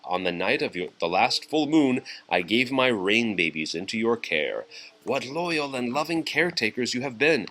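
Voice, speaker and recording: A man voicing a gentle, grateful magical moon mother in a children's storybook, speaking formally and reassuringly. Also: medium pitch, very animated, normal speed, low-quality recording. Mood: solemn, grateful.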